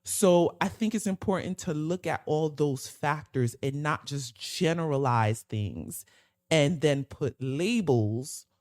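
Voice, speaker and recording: Young american guy on a podcast, kinda high voice, emphatic, dry close mic. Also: slow pace, a little mellow, dry recording. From United States. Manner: emphatic, thinks aloud with uneven pace. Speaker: podcast co-host. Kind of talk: casual discussion.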